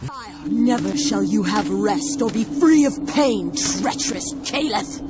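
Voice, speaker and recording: A woman voicing a vengeful, imperious dark elf sorceress in a fantasy game, theatrical and menacing. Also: mid-range voice, lively intonation, slow pace, over loud music. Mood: triumphant fury. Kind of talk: fantasy game cutscene acting.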